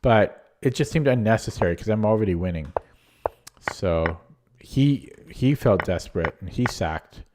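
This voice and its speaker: A man's voice: lowish voice, lively intonation, unhurried, background noise. A man losing his voice, reviewing his chess game aloud in a casual, hesitant way with frequent ums and restarts. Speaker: chess streamer.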